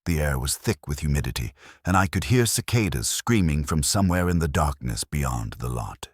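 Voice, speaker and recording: A man with an extremely low voice narrates horror in the first person. His delivery is grave and suspenseful, yet the intonation moves a good deal. The sound is dry and close, with a slightly rough, unpolished edge. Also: animated, moderate pace, no echo. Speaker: narrator of horror stories, posing as a trucker.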